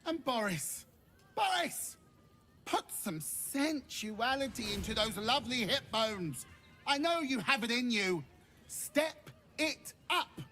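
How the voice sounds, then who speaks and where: A woman playing a flamboyant, exasperated necromancer ringmaster in a game, theatrical and haughty with grand drawn-out phrasing. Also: a little high, big pitch swings, fairly bright tone, faint music behind, full character voice. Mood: exasperated coaching.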